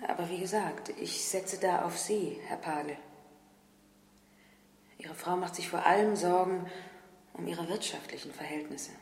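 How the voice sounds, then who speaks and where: An actress playing a stern but caring hospital doctor in a radio crime drama, speaking frankly and emphatically. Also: female voice, on the low side, a little slow, pauses often, a little mellow. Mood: earnest, insistent. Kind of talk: 1970s German radio crime drama acting. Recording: echoey, reverberant room.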